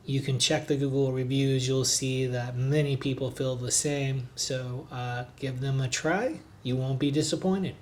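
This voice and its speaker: An easygoing man talks through a travel vlog in an unhurried, conversational tone at a middle pitch. He drifts along with plenty of small fillers as he shows places off, and his voice-over was recorded on the road in a noisy city. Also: steady tone. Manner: easygoing, chatty, frequent filler words. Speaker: travel vlogger, hotel reviewer. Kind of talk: casual travel vlog narration.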